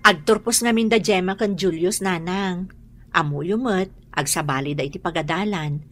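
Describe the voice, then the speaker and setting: A woman playing a caring, earnest mother in a radio drama, speaking gently with an Ilocano accent. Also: on the low side, normal speed, slight background noise, close to an everyday voice. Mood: pleading, grateful.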